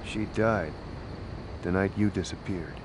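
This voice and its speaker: A man's voice: low voice, measured pace. A man playing a jaded, wisecracking private detective in a video game, dry and sardonic, with noise behind him. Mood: bitter.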